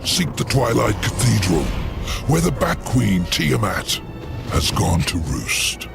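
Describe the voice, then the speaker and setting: An actor playing a huge imprisoned demon lord, proud and scheming, in a theatrical, gravelly voice with sudden shifts from loud to hushed. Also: male voice, lowish voice, very animated, slow pace, over music, heavily acted. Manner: theatrical, sweeping swings between loud and hushed. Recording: game score under the voice.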